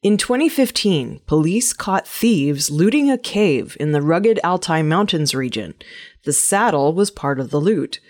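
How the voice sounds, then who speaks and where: Low-pitched woman reading scripted news. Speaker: news presenter.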